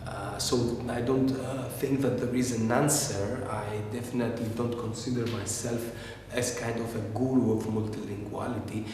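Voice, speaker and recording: A man who is not a native English speaker, speaking thoughtfully about theater on a panel, halting with many fillers and repeats. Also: mid-range voice, steady tone, a little mellow, a little hiss or hum. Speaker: theater maker. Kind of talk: panel discussion. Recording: echoey hall, far from mic.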